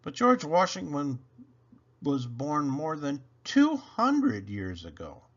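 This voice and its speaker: A man's voice: fairly high pitch, wildly swooping pitch, takes it slowly, muffled recording, heavily acted. Man as an earnest, declaiming six-year-old schoolchild. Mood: proud, declamatory.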